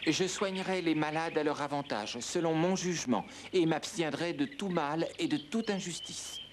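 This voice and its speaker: A man's voice: a little high, moderate pace, slight background noise, lightly acted. A man playing an earnest young character in an educational cartoon. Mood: solemn. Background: music.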